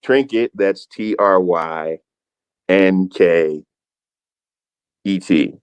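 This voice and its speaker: A man hosting a podcast, a music producer telling the story of a project in a relaxed, rambling way. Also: low-pitched, very slow, smooth, no room sound. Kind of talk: casual podcast chat. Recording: dull, muffled mic.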